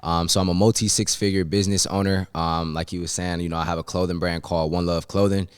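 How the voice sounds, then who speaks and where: A man's voice: deep voice, level tone, fast, clear, resonant tone, no room sound, clean studio sound. A man in his twenties from Cleveland, Ohio, talking casually as a podcast guest in a laid-back, slangy way with frequent ums. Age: 20s. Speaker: podcast guest, business owner. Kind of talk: casual interview chat.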